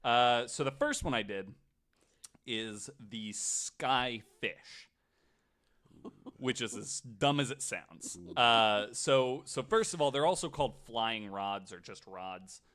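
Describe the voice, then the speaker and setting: A man co-hosting a podcast, telling a story casually in a skeptical, wry, somewhat halting way. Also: mid-range voice, expressive, a bit bright. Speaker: podcast co-host.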